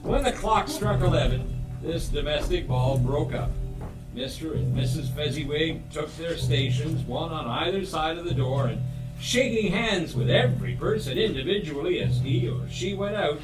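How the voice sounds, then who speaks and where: A man's voice: fairly high pitch, animated, normal speed, close to an everyday voice. A man narrating a Christmas tale on stage as a theatrical storyteller. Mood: expressive storytelling. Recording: roomy live stage, distant mic, music behind. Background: music.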